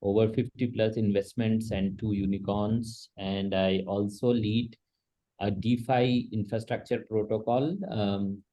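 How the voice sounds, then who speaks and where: A man with a low, mellow voice introduces himself on a video call. He speaks steadily with fillers between phrases. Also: flat delivery, unhurried, rough recording. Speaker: investor and protocol lead.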